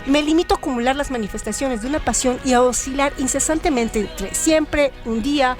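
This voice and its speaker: A woman with a noticeably high voice narrates a scripted literary text. Her reading is measured and articulate, delivered as an audio storyteller. Music plays clearly behind her. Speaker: narrator, writer and director of an audio story show. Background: background music.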